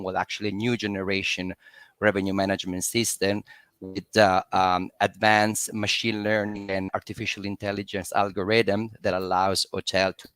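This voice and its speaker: A man's voice: on the low side, fairly bright tone, clean tone, no echo. A man introducing himself on an online panel over a video call, speaking hesitantly with many fillers. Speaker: panelist, tech company representative.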